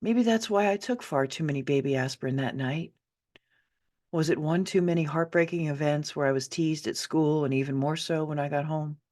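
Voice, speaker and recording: Female author-narrator, fifties, low register, theatrical and emphatic first-person storytelling. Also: normal speed, a little mellow. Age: 50s. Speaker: author reading her own memoir.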